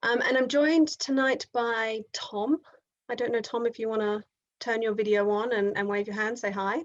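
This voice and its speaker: A woman's voice: on the high side, fairly even intonation, moderate pace, lo-fi sound. A woman with an Australian accent hosting an online event on a video call, talking with frequent ums and restarts. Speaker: event host.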